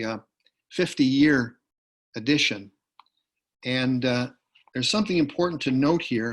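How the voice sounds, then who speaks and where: Slow-paced man presenting at a literary salon. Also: medium pitch. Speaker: poet presenting a literary tribute. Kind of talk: literary talk with poetry readings. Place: indoors on a video call. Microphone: video call.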